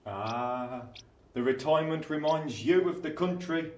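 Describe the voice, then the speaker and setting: Man doing a dry clerk, swooping pitch. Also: recorded from a distance. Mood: dry, deadpan. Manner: dry, deliberate, deadpan. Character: dry, matter-of-fact male London law clerk. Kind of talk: radio play acting of a Victorian novel. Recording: echoey room, off the mic.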